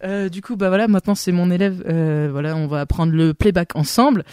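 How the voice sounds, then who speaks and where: A woman's voice: fairly low pitch, fairly quick, a little mellow, clean tone, no room sound, clean studio sound, close to an everyday voice. A woman playing a chatty miming coach and interpreter in an amateur radio play, giving lofty advice with lots of fillers. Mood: eager.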